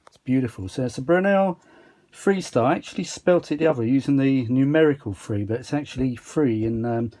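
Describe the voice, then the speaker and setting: A man's voice: mid-range voice, a little fast, dark tone. A man giving a casual, rambling hobbyist demonstration, chatty and self-deprecating, with muttered asides. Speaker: vintage audio hobbyist presenting a restoration.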